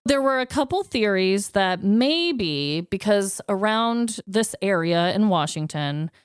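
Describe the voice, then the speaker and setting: A woman's voice: mid-range voice, animated, slow, clear, resonant tone, dry recording, studio quality. A woman co-hosting a podcast, telling stories in a casual, conversational way with an informal, candid manner. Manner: informal, candid, occasionally swearing. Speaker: podcast co-host.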